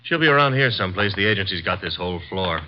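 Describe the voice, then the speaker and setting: A man's voice: low-pitched, lively intonation, fast, slight background noise. An actor playing a breezy, sarcastic young male assistant detective in a 1950s radio drama. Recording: dull old radio recording.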